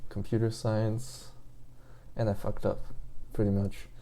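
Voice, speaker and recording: Young man, German accent, low gravelly voice, very dark tone, rambling and hesitant. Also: little pitch movement, a little slow. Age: 20s. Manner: meandering, hesitant, many fillers and restarts. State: just woke up. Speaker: language learner vlogger. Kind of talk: rambling casual rant.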